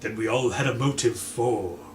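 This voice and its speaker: A man voicing a self-important, blustering military gentleman who speaks with pompous emphasis in a storybook reading. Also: medium pitch, moderate pace, close to an everyday voice. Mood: thoughtful. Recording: echoey room, faint background noise.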